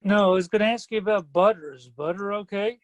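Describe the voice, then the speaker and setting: High-voiced man calling in with questions. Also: a little fast, no room sound. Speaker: caller asking for advice. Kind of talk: casual phone-in questions. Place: live video call.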